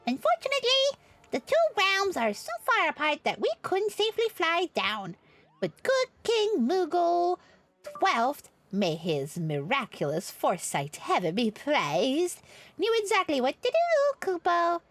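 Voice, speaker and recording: A woman's voice: extremely high pitch, huge pitch swings, a little slow, a bit bright, dry recording, pitched above the performer's own voice, heavily acted. A woman voicing a small, earnest moogle creature from a fantasy game, theatrical and reverent as it tells its story. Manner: theatrical, gushing, exclaims a verbal tic. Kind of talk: character voice reading game dialogue.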